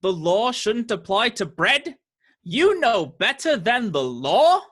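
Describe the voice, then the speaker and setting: Menacing, slow-spoken tyrant sheriff. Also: male voice, sits high, big pitch swings, fairly bright tone, slightly rough, exaggerated voice. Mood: smug, imperious. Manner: pompous, preaching, menacing. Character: cruel, menacing male sheriff, righteous law-and-order tyrant. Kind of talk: radio play acting.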